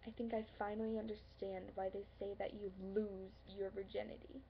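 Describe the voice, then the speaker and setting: A woman performing a dramatic stage monologue as a hurt, insecure, lovelorn young woman, earnest and pleading, with halting pauses. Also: medium pitch, level tone, vocal fry, lightly acted. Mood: reflective, wounded. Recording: dull lo-fi, faint background noise.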